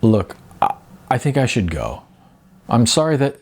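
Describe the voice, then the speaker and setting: A man voicing an awkward, gentle middle-aged man in an audiobook, halting and hesitant as he speaks. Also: medium pitch, wildly swooping pitch, slightly rough, full character voice. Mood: regretful.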